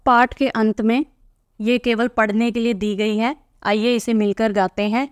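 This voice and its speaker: A woman's voice: on the high side, flat delivery, measured pace, smooth, no echo, clean studio sound. A woman teacher reading aloud from a textbook and patiently explaining each answer to young pupils.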